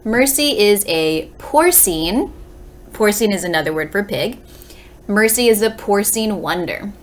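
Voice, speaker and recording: A woman's voice: medium pitch, animated, a little slow. A woman teacher giving a read-aloud lesson for young children, explaining and reading as she speaks to them directly. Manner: addresses young listeners directly with simple questions. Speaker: elementary school teacher.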